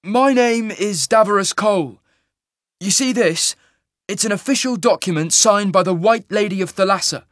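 A man's voice: sits high, normal speed, a bit bright, no echo, studio quality, pitched above the performer's own voice. A man voicing an earnest, proud male traveller with a formal, insistent way of speaking.

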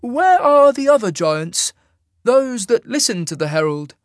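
A man voicing a commanding, calm immortal adjudicator in a fantasy audiobook, with measured, formal speech. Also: very high-pitched, no echo, clean studio sound, voice pushed up, full character voice. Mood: solemn questioning.

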